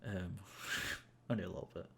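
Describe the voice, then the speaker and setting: Young male streamer, low gravelly voice, easygoing rambling chat, faint hiss on the mic. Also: steady tone, normal speed, a bit bright. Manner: rambling, self-amused, many fillers and restarts. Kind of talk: casual gameplay chat to viewers.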